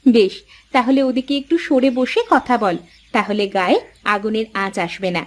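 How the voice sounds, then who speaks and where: A woman voicing fussing, affectionate village sisters in a cartoon, in an expressive, homely way. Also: a little high, moderate pace, natural voice. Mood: concerned, chatty. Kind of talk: cartoon voice acting. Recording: faint music behind the voice.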